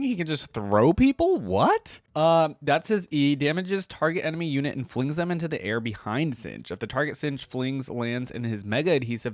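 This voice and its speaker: A man's voice: medium pitch, no echo. Man chatting casually, very dry close sound. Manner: informal, chatty, with exclamations and questions. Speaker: reaction video host. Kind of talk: casual reaction commentary with some reading aloud.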